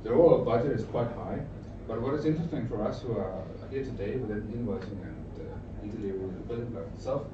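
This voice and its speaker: A middle-aged man from Denmark with a Danish accent, giving an informal conference presentation in short, halting, explanatory phrases. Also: fairly low pitch. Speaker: trainer and consultant giving a presentation. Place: indoor event room with an audience. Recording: roomy, distant mic, narrow muffled sound, noisy.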